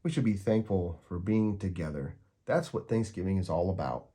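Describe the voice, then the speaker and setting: A man voicing a sensible, tactful young girl, gently reasoning, in a storybook reading. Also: low-pitched, lively intonation, moderate pace, husky. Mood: consoling.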